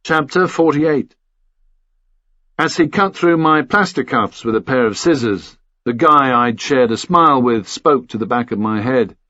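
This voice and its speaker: A man's voice: mid-range voice, lively intonation, measured pace, bright, forceful tone, no room sound. A man reading a first-person thriller story as an audiobook narrator, on a somewhat lo-fi recording.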